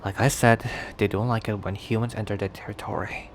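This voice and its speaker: A man playing a cocky, protective young werewolf stranger in an intimate ASMR roleplay, talking in a teasing, halting conversational way. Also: fairly low pitch, steady tone, slight background noise, natural voice. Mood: cautioning, earnest. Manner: teasing, breathy sighs and chuckles, halting conversational delivery. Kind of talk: ASMR roleplay acting, intimate one-sided dialogue.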